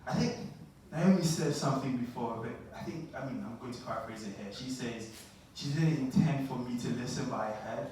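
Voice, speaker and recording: A man hosts a live poetry night in a laid-back, chatty manner. His mid-pitched voice echoes heavily around the hall, picked up from afar with clear background noise. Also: fairly quick, darkish tone, recorded from a distance. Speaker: event host. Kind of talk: live event hosting. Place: large indoor event hall.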